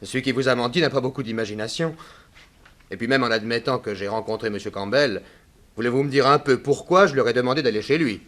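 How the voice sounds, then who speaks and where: A man's voice: medium pitch, fast, fairly bright tone, lightly acted. A man playing a defensive, talkative young potter in a 1960s French radio drama. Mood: indignant. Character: young male potter, defensive, chatty, cheeky son.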